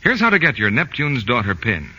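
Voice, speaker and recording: A man's voice: mid-range voice, very animated, brisk, bright, forceful tone, breathy edge. A man announcing an advertisement in 1940s radio style. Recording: dull old radio recording, long reverb.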